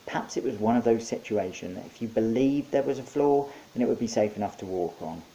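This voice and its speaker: A man's voice: lowish voice, fairly quick, dark tone, rough recording. A man narrating his own short story in a wry, conversational storytelling manner. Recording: faint background hiss.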